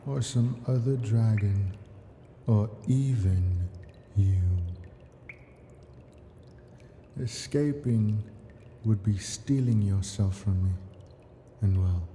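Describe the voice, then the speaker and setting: A man playing an ancient male dragon in an ASMR roleplay, a possessive, doting captor speaking in a coaxing manner with soft whispers. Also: fairly low pitch, a little hiss or hum. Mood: quietly menacing.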